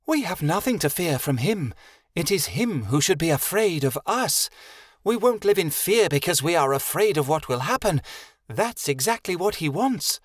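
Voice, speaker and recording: A man putting on a high, fussy fairy woman. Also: very animated, normal speed, no echo, studio quality. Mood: stern. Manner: speaks of herself by name.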